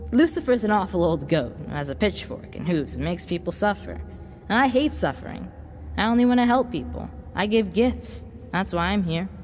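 A woman's voice: mid-range voice, expressive, normal speed, soft background music, natural voice. Woman playing a sly devil boy in echoing reverb. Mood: coaxing, sly. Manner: coaxing, mock-innocent, silky salesmanship. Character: sly devilish boy tempter, sweetly offering sinister gifts. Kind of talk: audio drama acting.

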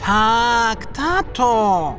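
High, bright whale boy. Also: sing-song, drawn-out words, faint music behind, heavily acted. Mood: dutiful. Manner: bright, dutiful short replies. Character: eager, obedient little boy humpback whale.

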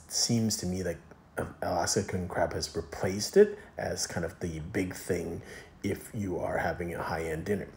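A man's voice: low-pitched, a little mellow. A man giving a casual food review voice-over in a rambling, hesitant, explanatory way.

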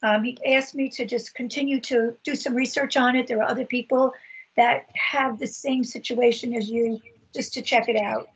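Husky-voiced woman on a video call. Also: a little high, fairly even intonation. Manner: earnest, halting, many fillers. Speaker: patient advocate. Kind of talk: personal story in a video meeting. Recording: dull lo-fi video call audio.

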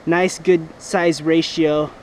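A man's voice: on the high side, little pitch movement, slow pace, slight background noise, low-quality recording. A young man vlogging while fishing from the rocks of a river, explaining his gear and whooping when he lands a fish, recorded on a head-mounted camera. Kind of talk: casual outdoor fishing vlog. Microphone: head-mounted action camera.

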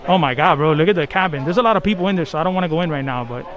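A young man, live-streaming from a boat show in a relaxed, slangy way, worn out by the heat. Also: a little high, racing, lo-fi sound. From Miami, Florida. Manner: casual, excitable, slangy, drops Spanish phrases. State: tired from the heat. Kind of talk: live-stream walkaround chat. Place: crowded outdoor boat show docks and boat cabins. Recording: busy crowd noise behind. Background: crowd chatter.

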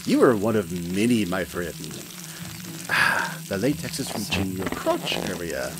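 A man's voice: low voice, sing-song, a little slow, background noise. A man voicing a smug male hyena mad scientist who talks in a silky, condescending, theatrical way, with frequent chuckles. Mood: gloating. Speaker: villainous scientist narrating to the listener. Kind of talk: hobby audio play. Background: background music.

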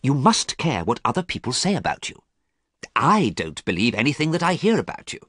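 A man reading as an earnest artist who talks quietly and sadly to a friend he fears for. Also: medium pitch, huge pitch swings, a little fast, slightly rough, no room sound, studio quality, voice pushed up, heavily acted. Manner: quiet, pleading, sad. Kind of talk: audiobook character reading.

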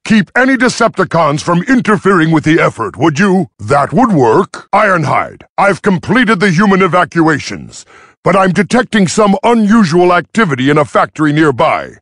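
An actor playing a gruff robot medic soldier in a video game, with a raspy voice and a dry, urgent delivery. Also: male voice, on the high side, very animated, moderate pace, fairly bright tone, no echo, full character voice. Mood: focused, commanding. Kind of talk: scripted video game battle dialogue.

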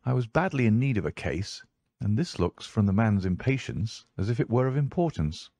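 An assured, analytical gentleman detective played by a male narrator in an audiobook. He speaks precisely, as if lecturing, in a fairly low voice with very little room sound. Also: sing-song, moderate pace, no room sound. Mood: brisk, vexed. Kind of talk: audiobook character voice.

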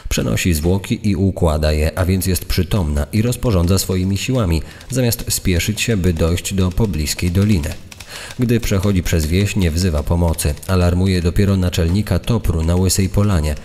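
A man playing an indignant journalist, reading an old press article in a formal, measured, accusatory manner. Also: sits low, mellow, slight background noise, close to an everyday voice. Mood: suspicious. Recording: long hall-like reverb.

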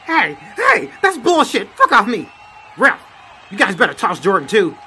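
A man's voice: high voice, normal speed, slight background noise, full character voice. A man voicing a brash, foul-mouthed basketball figure in slangy comedy dub style. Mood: outraged. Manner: animated, profane, slangy.

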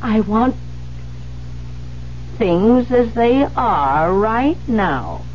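On a muffled old radio broadcast with faint hum, an embittered woman, a secretary consumed by devotion. Her voice is high and she speaks with theatrical, vengeful intensity. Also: sing-song, extremely slow, full character voice. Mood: cold, threatening. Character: embittered, obsessive secretary, a menacing adoring spinster. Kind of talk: 1970s radio drama acting.

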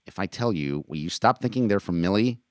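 A frustrated army corporal, played by a male narrator speaks with defensive insistence, like a loyal friend who has had enough. The delivery moves up and down in pitch. It is audiobook character work, recorded dry. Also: lively intonation, fairly quick, no echo. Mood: gentle, insistent. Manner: exasperated, insistent.

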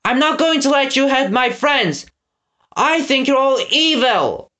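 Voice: high-pitched, expressive, slow, a bit bright, pitched above the performer's own voice, heavily acted. A reader voicing a brave young boy hero with an earnest, urgent manner in a storybook reading. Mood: defiant.

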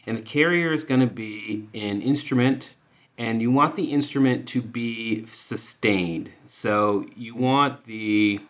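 A man hosting a music software tutorial, explaining informally and thinking aloud as he goes. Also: mid-range voice, takes it slowly. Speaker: music production tutorial host.

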